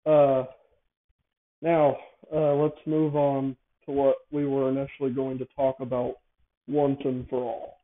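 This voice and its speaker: A man speaks over narrow, phone-like audio in a casual, unscripted monologue. He hosts in a halting way, often doubling back to correct or repeat his phrases. His pitch sits in an ordinary middle range. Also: level tone. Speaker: show host. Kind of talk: casual improvised monologue, explaining a device idea.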